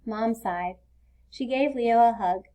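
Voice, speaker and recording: Higher-voiced woman reading a children's storybook. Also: slow pace, dark tone. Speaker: storybook reader. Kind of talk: scripted children's read-aloud narration.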